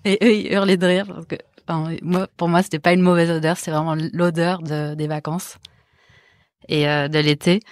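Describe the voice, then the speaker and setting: A woman in her thirties, a visual artist born in the Netherlands and raised in France, answering interview questions in a soft, reflective, halting way. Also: on the low side, little pitch movement, no room sound, clean studio sound. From born in the Netherlands, raised in Marseille. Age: 30s. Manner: many fillers and restarts, soft and reflective. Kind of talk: hesitant interview talk.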